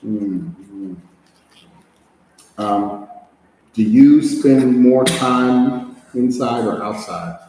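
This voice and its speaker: A man runs a practice speaking test at an unhurried pace, asking one question at a time and answering with short acknowledgements. His voice sits at an ordinary pitch. It rings through a very echoey room with a long reverb tail and faint background noise. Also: mellow. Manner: measured questioning with brief acknowledgements. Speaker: IELTS speaking examiner.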